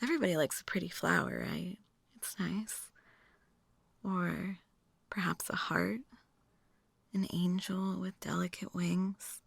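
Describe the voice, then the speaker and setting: A woman's voice: lowish voice, animated, takes it slowly, gravelly, long pauses, husky, no room sound, full character voice. A woman acting a chatty, philosophically morbid date in an intimate ASMR roleplay, musing and teasing as she rambles to the listener. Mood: soft, wistful.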